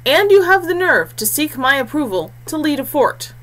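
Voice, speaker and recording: A woman's voice: sits high, big pitch swings, normal speed, fairly bright tone, pitched above the performer's own voice, low-quality recording. Woman voicing a cold ghostly fort chief, imperious. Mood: indignant. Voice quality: oddly thin, resonant, clear.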